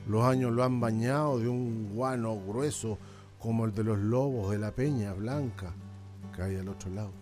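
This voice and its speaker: Man playing an anguished old islander, low-voiced. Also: normal speed, mellow, soft background music, natural voice. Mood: grieving, bitter. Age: older. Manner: plain, mournful, deferential speech. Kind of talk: radio drama acting.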